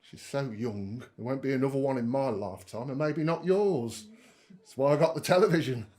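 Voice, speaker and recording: A man's voice: medium pitch, moderate pace, darkish tone, natural voice. An actor playing a proud, chatty middle-aged working-class father in a naturalistic audio drama, homely and enthusiastic. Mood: urging, enthusiastic.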